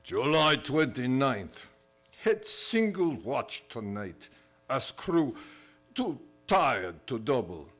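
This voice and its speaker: Man playing a slow, solemn ship's captain. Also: medium pitch, big pitch swings, a bit bright, faint music behind, exaggerated voice. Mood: solemn, uneasy. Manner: clipped, telegraphic, solemn. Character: grim ship's captain reading his log, increasingly fearful. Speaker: stage and radio theater actor. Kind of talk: old-time radio play acting, dramatic log reading.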